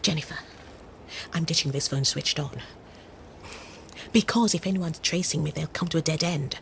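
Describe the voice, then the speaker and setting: An actress in a radio drama playing a brisk, resourceful woman fraudster on the run. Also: deep voice, gravelly, a little hiss or hum. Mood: tense.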